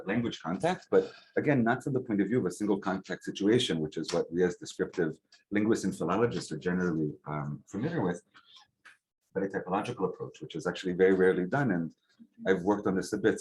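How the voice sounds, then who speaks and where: A man giving an academic lecture to a seminar, relaxed and conversational, with frequent um and uh and rhetorical "right?" checks. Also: low voice, fast talker, poor audio. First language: English. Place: lecture hall. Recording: faint background noise, clicks.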